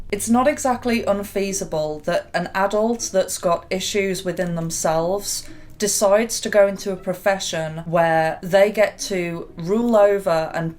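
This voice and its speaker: Deep-voiced woman telling her story. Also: a little mellow. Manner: candid and reflective, with small laughs. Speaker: YouTuber. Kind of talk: personal storytelling to camera.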